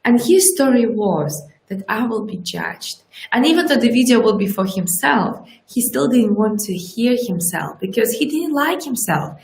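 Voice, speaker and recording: A woman in her forties whose first language is Russian, speaking as a life coach in a lively live-streamed motivational talk and often checking in with "right?". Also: on the high side, moderate pace, gravelly. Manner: lively, animated, keeps asking "right?". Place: small indoor room with curtains. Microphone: webcam at desk distance. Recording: roomy, echoey webcam audio.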